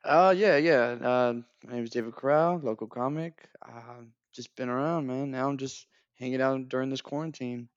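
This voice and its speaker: A man's voice: medium pitch, moderate pace, few pauses, no room sound, muffled recording. A young man who is a guest stand-up comedian, easygoing and laid-back in casual chat.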